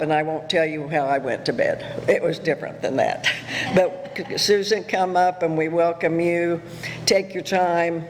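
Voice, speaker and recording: A man's voice: on the high side, long reverb tail, slight background noise, rough recording. A man pastor welcoming a guest speaker to the pulpit in an informal way. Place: church sanctuary.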